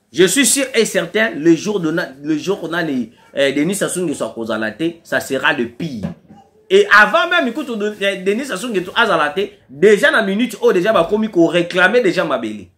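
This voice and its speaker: A man's voice: high voice, sharp tone. A man with a Congolese French accent giving impassioned political commentary, hammering home his points by repeating key phrases.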